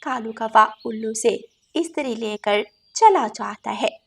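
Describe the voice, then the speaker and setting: Female story narrator, slightly high pitch, scripted delivery, children's tale. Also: takes it slowly, gravelly, fairly bright tone, breathy edge.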